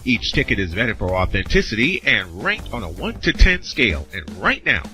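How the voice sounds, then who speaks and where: A man delivers an upbeat sponsor read with a bright, forceful tone. His pitch moves in a lively way over faint music, like a radio host's spot. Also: normal speed. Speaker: sportscast host reading a sponsor ad.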